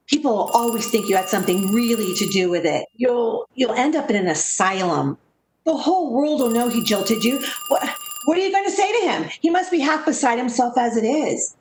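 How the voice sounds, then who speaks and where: An actress playing an anguished woman haunted by a friend's dark deeds, in dramatic, emphatic 1940s radio style. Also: fairly high pitch, lively intonation, normal speed, slight background noise, close to an everyday voice. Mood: frantic pleading. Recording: roomy echo, music behind. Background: music.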